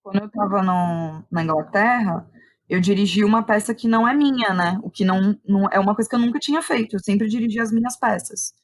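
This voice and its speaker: A woman's voice: medium pitch, a little fast. A woman with a Brazilian accent speaks as an interview guest, a playwright and director thinking aloud and often checking in with short tag questions. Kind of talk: reflective conversational interview.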